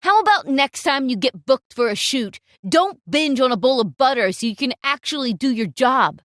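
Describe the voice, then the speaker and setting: A woman voicing a rude, insulting male fashion photographer who shouts and sneers at people. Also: fairly low pitch, lively intonation, normal speed, no room sound, clean studio sound, pitched below the performer's own voice, close to an everyday voice. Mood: sneering.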